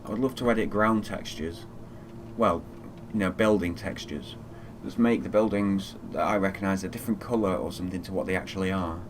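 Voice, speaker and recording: A man from Fiji giving casual, low-key live commentary as a flight simulator streamer, muttering through procedures as he goes. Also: a little fast, background noise.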